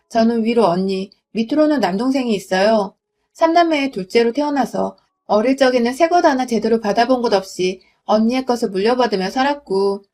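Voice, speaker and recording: A young woman from Gwangju narrating a first-person story in a smooth, confiding reading voice. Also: fairly high pitch, a little mellow. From Gwangju, South Korea.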